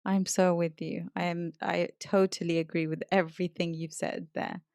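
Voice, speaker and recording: A woman's voice: on the low side, flat delivery, moderate pace, creaky, a little mellow, clear, resonant tone, dry recording, studio quality. A woman hosting a casual podcast interview about language learning, talking like a teacher to her listeners. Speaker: podcast host and English teacher.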